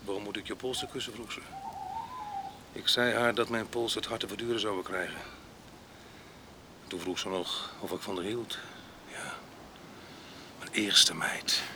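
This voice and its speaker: Gravelige, ruwe ex-gevangene, ruisige hoorspelopname. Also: male voice, lowish voice, measured pace, long pauses, background noise. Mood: bitter storytelling. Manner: coarse, domineering, mocking. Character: rough, jealous ex-convict husband with a violent past. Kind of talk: radio drama acting.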